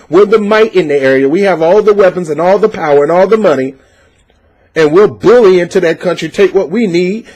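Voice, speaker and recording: A man's voice: sits high, darkish tone, slightly rough, poor audio. A man giving opinionated political commentary, reading out a news article and reacting to it with passionate, preachy emphasis and frequent fillers. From United States.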